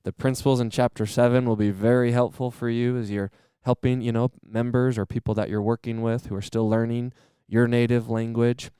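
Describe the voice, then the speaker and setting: A man, a training-center manager and podcast guest, explaining earnestly and enthusiastically in a steady conversational way. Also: mid-range voice, a little fast, clear, resonant tone, no echo.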